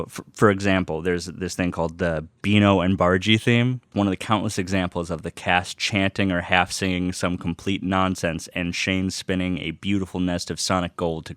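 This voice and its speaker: A man with a lowish voice talks casually to his viewers in a dry-sounding recording. He gushes with open enthusiasm, repeats phrases for emphasis and swears freely as he shows off something he loves. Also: fairly bright tone, clear, resonant tone, no room sound. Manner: gushing, enthusiastic fan talk with repetition and swearing. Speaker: host of a personal video series. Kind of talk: casual unboxing chat.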